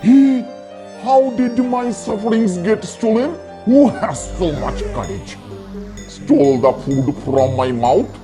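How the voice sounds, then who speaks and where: Man as a greedy outraged tiger uncle, cartoon, theatrical, unhurried pace, clear music behind. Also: sits high, expressive. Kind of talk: children's cartoon character dialogue.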